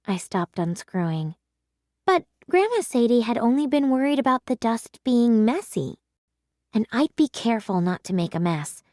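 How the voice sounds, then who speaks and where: A woman reading a children's story aloud with measured, dramatic storytelling. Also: a little high, big pitch swings, dark tone, no echo, clean studio sound. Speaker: children's audiobook reader.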